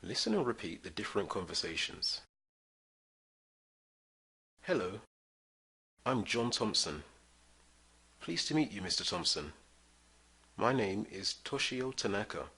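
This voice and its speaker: A man reads simple English greetings and phrases for beginners, pausing so learners can repeat. His delivery is slow and deliberate with a lively rise and fall, and his voice is bright with a faint husky edge. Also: on the low side. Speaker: English teacher. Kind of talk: slow scripted language-lesson reading.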